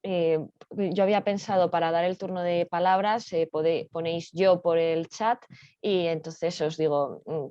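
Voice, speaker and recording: Low-voiced woman, muffled sound. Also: steady tone, measured pace, dark tone, no room sound. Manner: hesitant, feeling for words. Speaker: host, moderator. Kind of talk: informal moderating of an online session. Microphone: video call. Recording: dull lo-fi online call.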